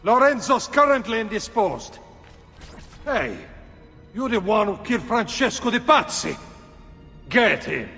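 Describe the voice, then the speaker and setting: A brash young guard taunts with a high, swooping, gravelly voice. His sharp, hostile delivery rings through a long hall reverb, with faint music behind. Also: big pitch swings, measured pace, long pauses, exaggerated voice. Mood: taunting. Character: brash young male guard, mocking and aggressive.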